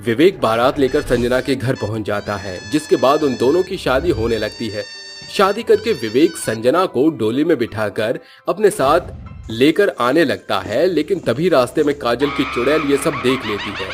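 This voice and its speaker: Man, horror cartoon storyteller, scripted narration, medium pitch, music behind. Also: lively intonation, normal speed.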